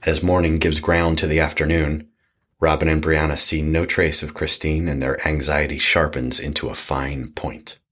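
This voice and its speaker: A man's voice: little pitch movement, normal speed, a bit bright. A man narrating a scripted horror audio drama in a grave, ominous manner. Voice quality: husky, very deep.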